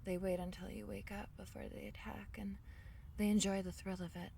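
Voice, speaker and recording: A woman playing a small, shy, kind forest fairy in an intimate ASMR fantasy roleplay, speaking softly and hesitantly. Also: medium pitch, level tone, a little fast, vocal fry, distant mic, slight background noise. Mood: grave. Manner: soft-spoken, gentle, hesitant, breaking into whispers.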